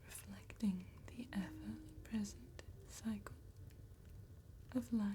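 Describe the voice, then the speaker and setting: Slow, whispering ASMR woman. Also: medium pitch, steady tone. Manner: slow, gentle, deliberate enunciation with long pauses. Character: soft-spoken female vampire tattoo artist. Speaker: ASMR roleplay performer. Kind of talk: ASMR roleplay, whispered and soft-spoken.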